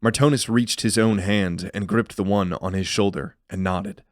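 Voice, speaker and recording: Low-pitched man narrating a fantasy tale. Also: steady tone, no room sound, clean studio sound. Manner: measured storytelling. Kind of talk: scripted fantasy drama narration.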